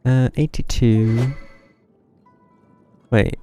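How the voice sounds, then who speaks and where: A young man gives casual, low-key game stream commentary. His voice is mellow and noticeably creaky, with faint music behind it. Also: fairly even intonation, very slow. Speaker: live streamer.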